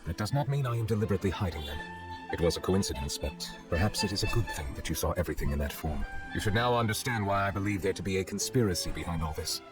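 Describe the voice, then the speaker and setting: Game role: reserved chief justice, low register, measured and formal delivery over prominent music. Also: male voice, animated. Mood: grave, reflective. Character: solemn, reserved chief justice, a dragon in human form. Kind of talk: video game dialogue acting.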